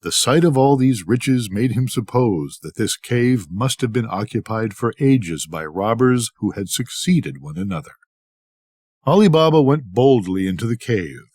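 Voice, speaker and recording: An older man narrates a bedtime tale in measured, expressive storytelling. His pitch moves around a great deal, and the recording is close and dry. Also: no echo. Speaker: storybook reader.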